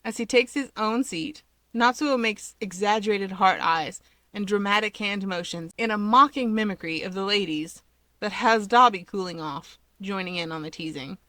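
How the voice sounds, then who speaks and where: A woman's voice: mid-range voice, normal speed, a bit bright, no room sound. A woman who reads fan fiction aloud and chats informally to listeners as the host of her channel. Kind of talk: expressive storytelling and casual chat to listeners.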